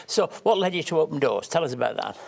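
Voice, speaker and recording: A man's voice: fairly high pitch, big pitch swings, quick pace, vocal fry, darkish tone. A man hosting a talk show, interviewing a guest hesitantly with many short murmurs of agreement. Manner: hesitant, with frequent backchannel murmurs.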